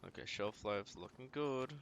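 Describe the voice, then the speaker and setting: A man's voice: lowish voice, normal speed, highs cut off, slight background noise. A man streaming a video game, giving casual running commentary and often muttering to himself as he plays. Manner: muttering to himself while playing.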